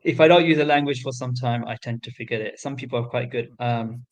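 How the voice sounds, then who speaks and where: A man calling into a livestream, chatting casually in a halting way with many pauses and restarts. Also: mid-range voice. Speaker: caller on a livestream. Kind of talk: casual chat.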